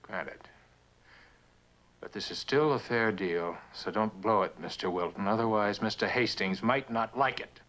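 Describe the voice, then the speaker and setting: An actor playing a proud, bitter prospector, blunt and insistent, in a mid-century television drama. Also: male voice, fairly low pitch, measured pace, fairly bright tone, low-quality recording. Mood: defiant. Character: proud, bitter mineral prospector, a man hard bargainer.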